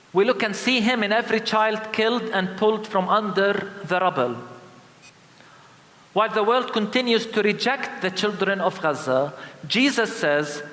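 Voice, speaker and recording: A man from Palestine preaching a sermon of lament as a pastor, solemn and emphatic, with deliberate repeated phrases. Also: high voice, fairly bright tone. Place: large indoor church. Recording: reverberant church hall sound.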